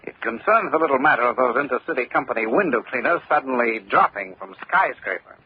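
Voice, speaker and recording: An actor playing a brisk, dogged insurance investigator in an old-time radio drama, speaking assertively. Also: male voice, mid-range voice, natural voice. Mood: probing. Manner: assertive, animated. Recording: muffled, noisy old radio recording.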